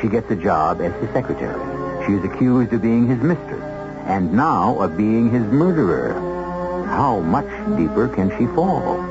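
A gravelly, portentous male host of a vintage radio mystery. His delivery is theatrical and philosophical, rising and falling widely, with loud music behind him. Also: fairly low pitch, expressive, normal speed, highs cut off. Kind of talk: 1970s radio drama narration.

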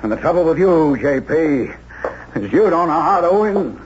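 Desperate bitter businessman, intense, 70s radio drama, dull sound w/ clear background noise. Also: on the high side, big pitch swings, normal speed. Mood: bitter menace. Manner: urgent, intense. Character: desperate, bitter businessman, pleading then threatening. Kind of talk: 1970s radio drama acting. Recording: muffled, noisy 1970s radio broadcast.

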